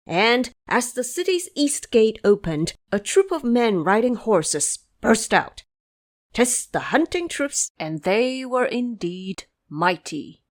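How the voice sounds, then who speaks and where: A woman narrating an audio drama as a scripted story, with expressive, dramatic delivery. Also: medium pitch.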